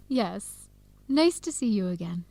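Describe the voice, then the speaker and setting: A woman's voice: medium pitch, warm tone, full character voice. A woman playing a friendly young Ethiopian American grad student with an American accent in a radio drama, speaking in a conversational way. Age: young adult. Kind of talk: educational radio drama acting.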